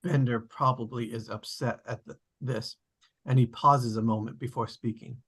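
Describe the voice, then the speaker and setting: A man's voice: medium pitch, fairly even intonation, moderate pace, fairly bright tone, slightly rough. A man reading stage directions as scripted narration for a table read.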